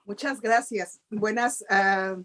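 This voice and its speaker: A woman's voice: medium pitch, steady tone, slow pace, a bit bright. Chatty Mexican woman guest. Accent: Mexican Spanish. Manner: chatty, explanatory. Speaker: guest journalist. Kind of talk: interview answers.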